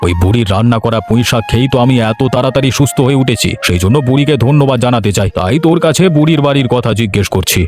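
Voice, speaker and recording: A man's voice: mid-range voice, animated, racing, few pauses, over music, natural voice. A man voicing an earnest, emphatic village uncle in a cartoon, protective and grateful. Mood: grateful, pleased. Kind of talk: Bengali cartoon dialogue.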